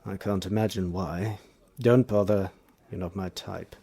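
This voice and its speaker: An actor playing a cold, menacing Victorian gentleman scientist in a stage drama, clipped, curt and contemptuous. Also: deep voice, steady tone, measured pace, husky, exaggerated voice. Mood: curt, dismissive. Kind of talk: theatrical stage drama acting.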